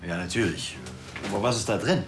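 A man's voice: lowish voice, brisk, noisy background, close to an everyday voice. An actor as a fussy male crime novelist. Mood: impressed, curious.

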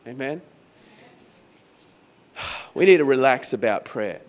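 A man preaching a sermon as pastor in a croaky, hoarse voice, talking conversationally and repeating phrases for emphasis. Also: medium pitch, expressive, takes it slowly. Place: venue hall during a church service.

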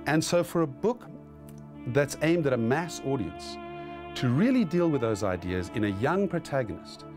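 A man's voice: mid-range voice, big pitch swings, normal speed, music in the background. A middle-aged man, a film director, speaking in a documentary interview. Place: indoor interview setup.

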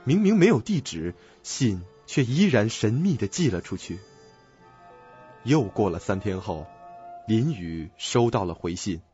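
Low-pitched, expressive male narrator. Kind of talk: scripted radio drama narration. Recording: dull lo-fi recording. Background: music.

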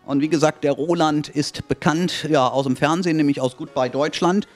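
A man's voice: on the high side, steady tone, moderate pace, faint music behind. A middle-aged man hosting a casual talk show in a spa studio, chatty and joking, speaking into a handheld mic. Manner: jokey, chatty.

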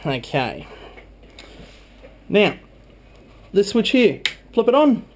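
Higher-pitched young man, casual vlogger. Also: very animated, very slow, darkish tone. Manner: informal, chatty. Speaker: video blogger. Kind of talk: casual hands-on narration of a PC build. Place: small room at home, indoors. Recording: faint background noise.

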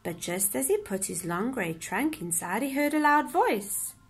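A woman narrating a children's picture book in an expressive storytelling style. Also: a little high, measured pace, husky.

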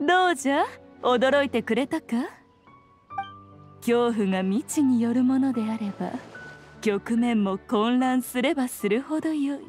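A woman's voice: a little high, expressive, unhurried, a little mellow, soft background music. A woman voicing a playful, commanding ancient fox spirit lady in an anime game, speaking in an archaic, self-assured, teasing way. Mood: amused, triumphant. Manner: archaic, lordly old-fashioned speech, teasing and self-assured. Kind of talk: Japanese anime game voice acting.

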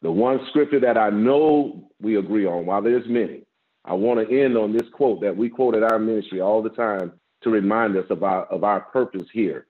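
A man in his fifties, a guest pastor, preaching a measured sermon with a strong voice from an office over a video call, rising with emphasis and repeating words as he builds. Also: lowish voice. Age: 50s. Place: office with framed certificates, indoors. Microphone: video call, laptop camera. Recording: tinny phone-like video-call audio.

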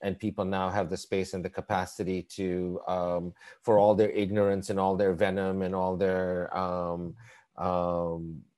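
A middle-aged man from Canada, born in East Africa, speaking thoughtfully over a video call with many halting repeats. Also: deep voice, completely flat delivery, darkish tone. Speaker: conversation partner. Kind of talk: reflective video-call conversation.

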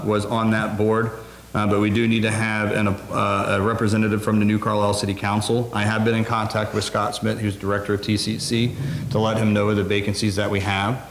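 A man giving a quick, businesslike report to a city council, with many fillers. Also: fairly low pitch. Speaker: city administrator. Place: wood-paneled council room, indoors. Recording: roomy meeting hall with faint noise.

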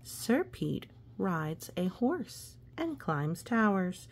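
A woman's voice: lowish voice, very animated, very slow, gravelly, slight background noise. A woman reading a children's story aloud with playful, exclamatory asides, talking as if to a young child. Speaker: picture book reader.

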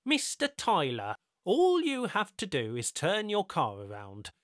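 A man voicing a calm, courteous godlike male overseer with smooth, measured, faintly weary politeness. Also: high voice, dry recording, clean studio sound, pitched above the performer's own voice, heavily acted.

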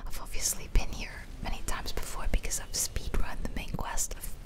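Whispering woman, casual ASMR gamer. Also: brisk. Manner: casual, chatty, rambling. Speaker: video game streamer. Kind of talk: whispered ASMR gameplay commentary.

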